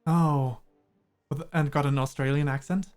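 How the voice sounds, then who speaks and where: A man's voice: on the high side, steady tone, moderate pace, faint music behind. A young man from Germany, a native German speaker with a slight British-American mix in his English, chatting casually and hesitantly while streaming a game. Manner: rambling, hesitant, laughs often. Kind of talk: casual gameplay chat.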